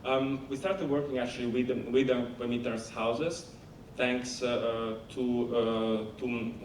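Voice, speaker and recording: An Italian-accented man answers on a conference panel, stopping and restarting often with plenty of fillers. His voice sits at a middling pitch and is picked up from a distance in an echoey hall. A steady low rumble runs underneath. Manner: halting, many fillers and restarts. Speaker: panelist, company founder.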